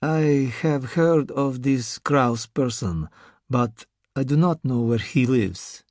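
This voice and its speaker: A man voicing a big masked male sorcerer with a Russian accent who speaks slowly and calmly with quiet menace. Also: mid-range voice, dry recording, clean studio sound, close to an everyday voice. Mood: cold menace. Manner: slow, calm, quietly threatening.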